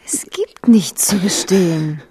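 An actress playing a proud young princess in a German radio drama, firm and controlled. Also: female voice, on the low side, animated, slow, mellow, slightly rough, no room sound, heavily acted. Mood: guarded denial. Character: proud, steadfast young princess, newly married.

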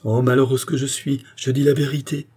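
Audiobook role: imperious, anguished queen, performed by a man, raised husky voice, breathless emphatic delivery. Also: medium pitch, moderate pace, dark tone, pitched above the performer's own voice, lightly acted. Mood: anguished outburst. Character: proud, jealous, anguished queen, imperious and feverish. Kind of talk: dramatic audiobook character acting.

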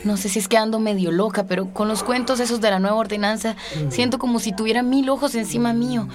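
An actress playing a caring, defiant young health worker in a radio drama, speaking earnestly and firmly. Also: female voice, fairly high pitch, steady tone, quick pace, a crowd in the background, lightly acted. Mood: defiant worry. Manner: earnest, firm.

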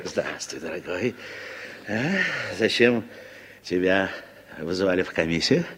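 An actor playing a blustering, uneasy American businessman in a Soviet radio drama, husky and theatrical, hesitant and then emphatic. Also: low-pitched, a little slow, a bit bright, slight background noise. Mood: mock jovial.

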